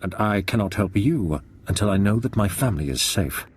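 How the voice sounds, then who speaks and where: An actor playing a proud captive nobleman in a video game, formal, deliberate and guarded, with a husky voice. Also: fairly low pitch, expressive. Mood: resolute. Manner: formal, deliberate, haughty phrasing. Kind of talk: dramatic video game acting.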